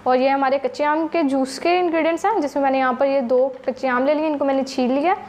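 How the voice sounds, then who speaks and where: A woman's voice: high-pitched, steady tone, fast, a little mellow. A woman hosting a home cooking channel with a Pakistani Urdu accent, patiently explaining each recipe step to her viewers.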